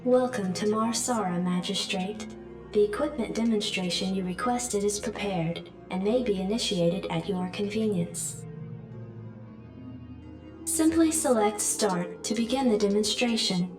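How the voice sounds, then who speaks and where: A woman voicing a calm, formal computer adjutant giving measured, impersonal briefings in a video game. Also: mid-range voice, lightly acted. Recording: echoey, distant, music behind.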